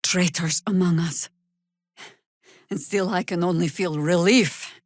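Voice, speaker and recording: A seasoned, battle-worn heroine from a fantasy video game. She is a middle-aged woman with a fairly low voice and a wry, measured, dramatic delivery. The sound is very dry, with no room around it. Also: no echo. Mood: stern, earnest. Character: seasoned, wry female adventurer, battle-worn mother and druid. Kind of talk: video game character dialogue and spell calls.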